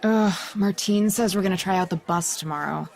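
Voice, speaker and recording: An actress playing a young blind psychic student, sarcastic and easily exasperated, in a naturalistic audio drama. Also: female voice, medium pitch, moderate pace, vocal fry, a little mellow, a faint crowd. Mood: relaxed, wry.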